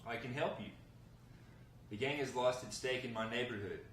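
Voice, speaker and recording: A man reading the part of an earnest, hard-up man bargaining with a gang boss, measured and persuasive, at a script table read. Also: mid-range voice, a bit bright, lightly acted. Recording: roomy, noisy, distant mic.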